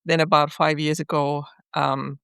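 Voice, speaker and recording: A middle-aged woman from Finland with a Finnish accent, a guest poet talking in an unhurried way with pauses as she searches for words. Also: low voice, level tone, gravelly, a bit bright, smooth, no room sound. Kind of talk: podcast interview.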